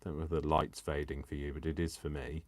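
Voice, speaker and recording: A man from Coventry with a Coventry English accent softened by years in Yorkshire, chatting and teasing himself as he demonstrates crafts live. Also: rumbling low, flat delivery, fast talker, no echo. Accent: Coventry English, worn by years in Yorkshire. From England. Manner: chatty and self-mocking, talks to himself and the viewers. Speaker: crafting livestreamer.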